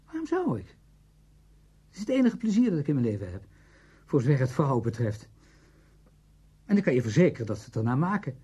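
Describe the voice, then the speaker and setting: A man's voice: mid-range voice, wildly swooping pitch, a little fast, long pauses, dark tone, breathy edge. A man acting in a radio play as a cynical, self-justifying friend, glib and emphatic. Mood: defiant, relishing.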